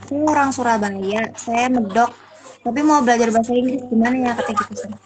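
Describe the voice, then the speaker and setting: High-voiced woman hosting a noisy live stream. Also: fast talker. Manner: relays viewer questions, polite, calls the guest "Sir". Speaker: live session host.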